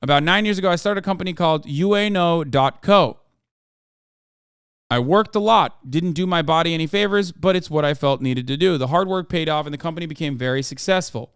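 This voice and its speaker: A man's voice: fairly high pitch, brisk, fairly bright tone, clean tone, dry recording, studio quality. A man voicing a frank, wry Icelandic entrepreneur with a put-on Icelandic accent, reading a written statement aloud. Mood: earnest.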